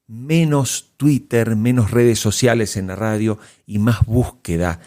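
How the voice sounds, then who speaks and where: Low-voiced male podcast host. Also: slow pace, darkish tone. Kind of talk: scripted podcast commentary.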